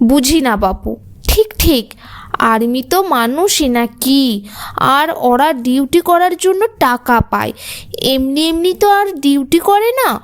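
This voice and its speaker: A woman playing a scornful, complaining village gossip in a cartoon. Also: high-pitched. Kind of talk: Bengali cartoon voice acting.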